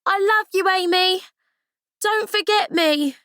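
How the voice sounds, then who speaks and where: A woman voicing a loyal, warm-hearted working-class girl. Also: sits high, moderate pace, no echo, clean studio sound, voice pushed up. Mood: shouting.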